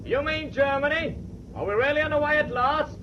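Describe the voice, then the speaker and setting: An impatient sailor held prisoner in a radio drama. His voice is very high and demanding, in a dull, noisy recording. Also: brisk. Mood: insistent. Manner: demanding, insistent. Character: impatient, challenging captive British seaman. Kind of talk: British radio drama acting.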